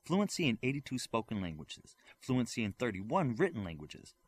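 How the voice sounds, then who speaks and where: Male audiobook narrator with lively intonation. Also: medium pitch, moderate pace, husky, dry recording. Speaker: audiobook reader. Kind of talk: scripted story narration.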